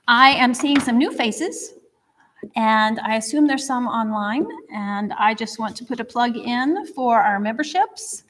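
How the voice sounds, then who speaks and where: A woman's voice: a little high, measured pace, a bit bright, a little hiss or hum. A woman hosting a history society talk, chatty and upbeat as she makes announcements and introduces the speaker to a live audience. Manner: chatty, upbeat, a little rambling. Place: lecture room before an audience. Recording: long hall reverb.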